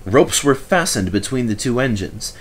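Animated male storybook narrator. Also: mid-range voice, moderate pace, slightly rough. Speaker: storybook reader. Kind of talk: expressive scripted storybook narration.

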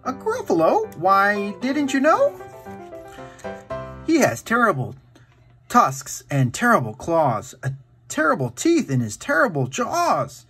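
Man putting on a high, plucky little mouse. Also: wildly swooping pitch, slow pace, bright, forceful tone, husky, soft background music, full character voice. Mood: polite, sly. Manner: polite, emphatic, boastful. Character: small clever brown mouse, plucky boastful trickster.

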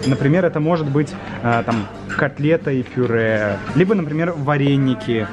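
A young man hosting a vlog, chatting excitedly on a city street and in a restaurant, filmed at arm's length. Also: mid-range voice, over music. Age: young adult. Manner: excitable, exclaims loudly, explains slowly. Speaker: vlog host, Russian teacher. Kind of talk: casual chat.

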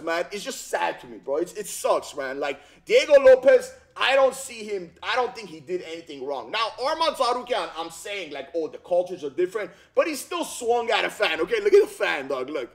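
A man in his thirties, originally from Armenia, talking casually about fight news in a slangy, repetitive way from a home gaming room on a headset mic. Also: sits high. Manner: animated, slangy, repeats himself, frequent "bro" and "okay?". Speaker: YouTube commentator. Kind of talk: casual reaction commentary.

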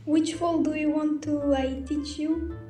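Young woman, very high and slow, giggly, on an echoey distant video call. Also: steady tone, dark tone, faint music behind. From Algerian roots. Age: young adult. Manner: giggly, slow, unsure English. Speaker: video chat stranger. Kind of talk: casual video chat.

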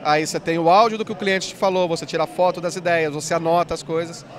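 Fast-talking man at a busy expo. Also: fairly high pitch, steady tone. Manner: fast, enthusiastic explainer with many "né"s. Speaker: app company founder. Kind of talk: trade fair interview. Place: busy indoor expo hall. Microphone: handheld mic.

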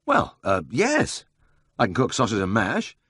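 Wary, lazy young brother, higher voice, hesitant replies, a man doing him. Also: huge pitch swings, a little slow, a bit bright, husky, no room sound, clean studio sound, full character voice.

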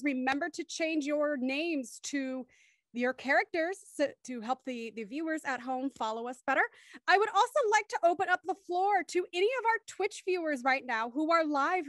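A woman's voice: sits high, dry recording, clean studio sound. A woman hosting an online script reading and narrating scene directions. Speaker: host reading stage directions. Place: home, on a video call.

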